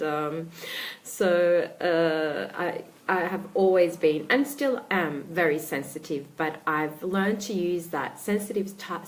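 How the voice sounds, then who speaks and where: An Australian woman, a life coach, talking casually to her followers in a live stream, with many pauses and fillers. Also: on the low side. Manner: hesitant, many ums and restarts.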